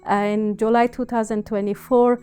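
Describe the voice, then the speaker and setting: Woman with Afghan accent, halting speech. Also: on the high side, flat delivery, warm tone, clear, resonant tone. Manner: hesitant, halting phrasing in non-native English. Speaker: interviewee, university researcher and women's refugee supporter. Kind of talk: personal account in an interview.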